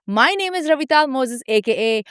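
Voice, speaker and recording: A young adult woman from India hosting a podcast interview, upbeat and curious, with quick follow-up questions. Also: high-pitched, animated, fairly bright tone, clear, resonant tone, no room sound, clean studio sound. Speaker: podcast host.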